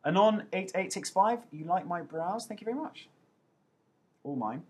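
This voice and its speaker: A man's voice: high voice, animated, moderate pace, darkish tone, a little hiss or hum. A man in his thirties, an actor, chatting casually on a webcam as he reads out viewer questions and answers them with playful self-mockery. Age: 30s. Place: indoors at home. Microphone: webcam mic.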